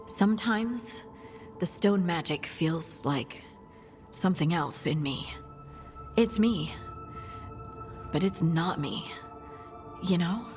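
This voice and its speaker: Hesitant, soft-spoken scout. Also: female voice, fairly low pitch, lively intonation, slow pace, long pauses, big-room sound, faint music behind. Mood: unsure. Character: gentle, unsure young woman scout. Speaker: party companion. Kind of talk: video game cutscene dialogue.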